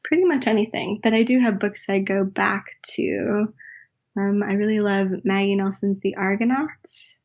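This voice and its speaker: A woman's voice: medium pitch, normal speed, muffled recording. A woman, a writer and professor, talking reflectively in an interview, often correcting herself mid-sentence. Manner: thoughtful, self-correcting, with soft laughs.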